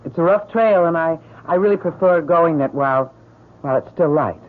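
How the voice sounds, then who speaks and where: A woman's voice: on the low side, moderate pace, natural voice. An actress playing a shy, plainspoken young mountain woman with a rural American accent, speaking hesitantly and folksily in a radio drama. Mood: shy, friendly. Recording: noisy, narrow-band old radio recording.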